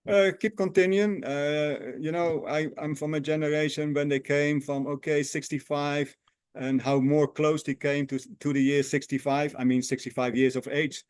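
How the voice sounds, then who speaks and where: Earnest, halting man in his sixties. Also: fairly high pitch, little pitch movement, a little mellow, clear, resonant tone, no echo. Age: 60s. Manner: earnest, halting non-native phrasing with many restarts. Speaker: guest, lifestyle coach. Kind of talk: casual interview.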